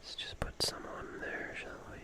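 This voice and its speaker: An ASMR host speaking in a soft, breathy, unvoiced whisper, gently repeating phrases in a roleplay style. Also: normal speed.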